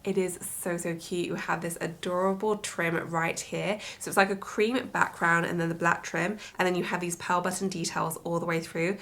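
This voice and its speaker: A woman's voice: on the low side, little pitch movement, moderate pace, hardly any pauses, fairly bright tone. Stuffy-nosed young woman gushing about fashion. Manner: gushing, enthusiastic, lots of superlatives. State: congested, stuffy-nosed from allergies. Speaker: fashion vlogger. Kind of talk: casual haul chat to camera.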